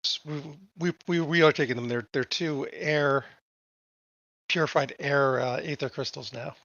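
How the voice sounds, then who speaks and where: A man's voice: a little high, fairly even intonation, moderate pace, creaky, fairly bright tone, no room sound, highs cut off. A man playing a tabletop game, talking casually and stammering over his words. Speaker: player.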